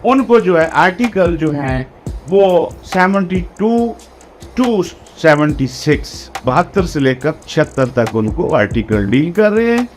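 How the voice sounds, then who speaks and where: A man lecturing on law for exam students, explaining deliberately and spelling out key phrases word by word, with English legal terms mixed in. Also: on the high side, sing-song, soft background music.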